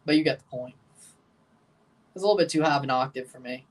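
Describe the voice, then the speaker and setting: A man's voice: fast talker, recorded from a distance. Opinionated man reacting to soccer live. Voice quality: low voice. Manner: opinionated, blunt hot takes; excitable, jumps high in pitch when agitated. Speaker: livestream host reacting to a soccer match. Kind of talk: casual livestream commentary, answering chat questions.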